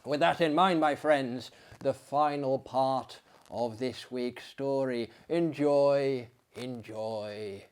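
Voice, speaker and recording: A man delivers a theatrical reading in a middle-pitched voice. He takes his time over florid phrases, letting them swell and fall away. The manner is knowingly hammy and self-deprecating. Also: slow, few pauses, clean tone. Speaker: performer giving dramatic readings. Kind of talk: theatrical storytelling and dramatic reading.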